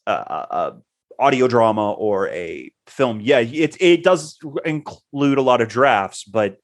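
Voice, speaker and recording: A man's voice: medium pitch, animated, slow. A man co-hosting a casual chat about writing, rambling and hesitant, often repeating words. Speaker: co-host.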